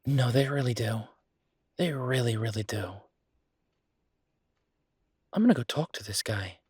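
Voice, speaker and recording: A man playing an easygoing, affectionate restaurant owner in a roleplay audio drama, chatting casually as if thinking out loud to his partner. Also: medium pitch, animated, a little slow, husky, no room sound, studio quality. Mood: musing, persuasive. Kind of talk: boyfriend roleplay audio drama, one-sided conversation.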